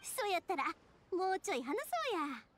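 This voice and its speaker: A woman voicing a bubbly, warm-hearted young craftswoman with a Kansai accent in an anime game. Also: extremely high pitch, lively intonation, normal speed, slight background noise. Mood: cheerful, eager. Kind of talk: Japanese anime game voice acting.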